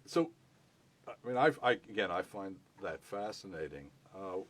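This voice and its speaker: Deep-voiced older man interviewing. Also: big pitch swings, a little slow, fairly bright tone. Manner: personal, probing, restates points. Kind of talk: conversational interview.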